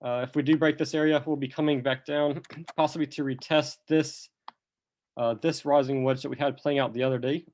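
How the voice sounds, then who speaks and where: A man's voice: mid-range voice, fairly even intonation, a little mellow. Slightly sick man walking through charts. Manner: unhurried, talks through charts step by step. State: a bit sick with a cough. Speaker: crypto chart analyst. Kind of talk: screen-recorded chart walkthrough.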